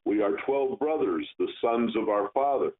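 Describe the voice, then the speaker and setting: A man's voice: fairly low pitch, lively intonation, moderate pace, natural voice. A man reading the words of a group of guilty brothers in a scripture reading, over a tinny radio sound. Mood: remorseful.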